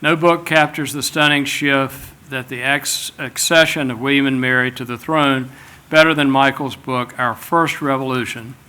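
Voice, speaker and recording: A man at a podium introduces the next panelist. His voice is mid-range with a bright, forceful edge. He sounds relaxed and pauses now and then to find his words. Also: mid-range voice, clear, resonant tone. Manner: relaxed, with some hesitations. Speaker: event moderator. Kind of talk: speaker introduction. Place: lecture hall.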